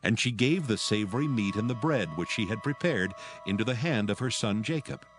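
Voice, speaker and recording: Male narrator, dramatized scripture, solemn, measured, lowish pitch, lively intonation. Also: music in the background.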